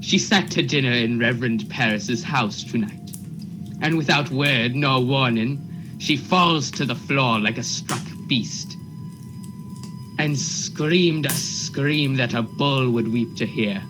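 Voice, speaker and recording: A man's voice: mid-range voice, slow pace, natural voice, poor audio. Man acting officious Puritan court officer, music behind. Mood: alarmed. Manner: rustic, formal, insistent. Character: Cheever, earnest, officious male court officer serving warrants. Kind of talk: radio play acting.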